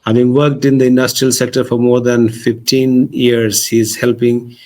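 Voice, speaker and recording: A man's voice: medium pitch, flat delivery, normal speed, darkish tone. A man hosting a podcast, formally introducing and questioning his guest. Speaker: podcast host. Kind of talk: podcast interview.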